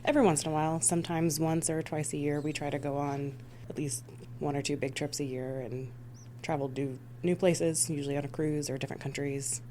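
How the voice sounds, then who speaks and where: A woman's voice: deep voice, little pitch movement, a little fast, warm tone, slight background noise. A woman from the United States giving conversational answers as an emergency dispatch supervisor being interviewed. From United States (Missouri and Rhode Island).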